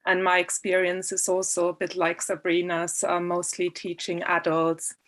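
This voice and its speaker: A woman from southern Germany, a German teacher, introducing herself in a relaxed way with light humor. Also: on the low side, normal speed. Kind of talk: panel self-introduction.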